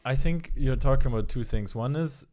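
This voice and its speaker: A man's voice: mid-range voice, normal speed, warm tone. A man hosting a podcast, speaking thoughtfully in casual talk and searching for words. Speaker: podcast host. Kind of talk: casual conversation.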